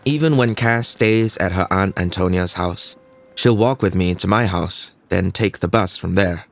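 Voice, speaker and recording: A man's voice: sits low, a little slow, gravelly. A young man performing a scripted dramatic monologue as a troubled, introspective student telling his own life. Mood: brooding, intimate.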